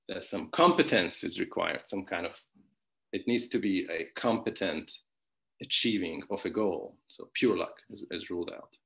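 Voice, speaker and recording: A man's voice: fairly low pitch, gravelly, fairly bright tone. A man whose first language is Hungarian, giving an online philosophy conference talk with many restarts, fillers and wry asides. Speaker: philosophy lecturer.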